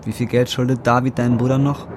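A man's voice: mid-range voice, flat delivery, quick pace, close to an everyday voice. An actor in his twenties playing a desperate young man burdened by guilt, blunt and brooding, in a naturalistic radio drama. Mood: brooding, tense. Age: 20s. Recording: clearly noisy ambience with vehicle sounds.

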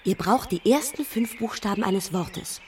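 An actress playing a naive, pert young princess in a children's radio play. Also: female voice, medium pitch, expressive, measured pace, a little mellow, distant mic, slight background noise, lightly acted. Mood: instructive.

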